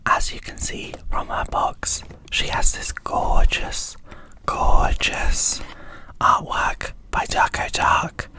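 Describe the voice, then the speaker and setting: A woman whispering softly and a little hesitantly in an ASMR unboxing style. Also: takes it slowly. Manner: soft, hushed, hesitant. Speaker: ASMR video creator.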